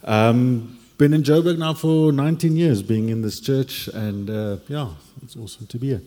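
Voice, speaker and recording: A man in his forties speaks with a mellow, dark tone and a reflective, hesitant manner. His words trail off in a long hall reverb. He shares personal stories candidly on a church panel. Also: mid-range voice, normal speed. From Cape Town, South Africa. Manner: reflective, hesitant, many fillers. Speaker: panel guest.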